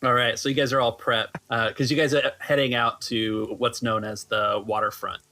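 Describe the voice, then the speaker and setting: A man's voice: medium pitch, flat delivery, normal speed, bright, forceful tone. A man acting as game master, casually narrating scenes and explaining rules for a tabletop roleplaying game.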